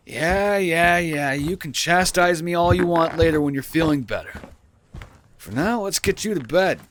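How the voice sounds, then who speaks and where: A dry, close recording of a man playing a young boyfriend in a comfort roleplay. His mid-pitched voice moves around a lot, casual and playful, with gentle teasing and a caring manner toward a sick partner. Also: dry recording. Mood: teasing, fond. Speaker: audio roleplay performer.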